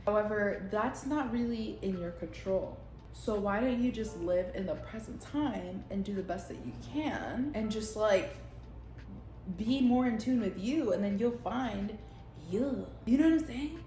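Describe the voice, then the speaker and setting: Young woman vlogger, Spanish first language, blunt and salty, middle-pitched, echoey room. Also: measured pace, dark tone, soft background music. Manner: blunt, profane, rambling with frequent laughs. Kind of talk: unscripted casual monologue. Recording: roomy echo.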